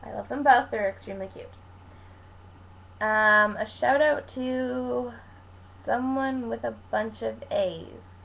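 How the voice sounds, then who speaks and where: A woman's voice: a little high, takes it slowly, vocal fry, pauses often, a bit bright, slight background noise, poor audio. A young woman from Canada talking casually to viewers in a rambling, informal way, like a vlogger answering questions. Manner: rambling, informal, colloquial with frequent "like". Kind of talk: casual chat to camera, Q&A vlog.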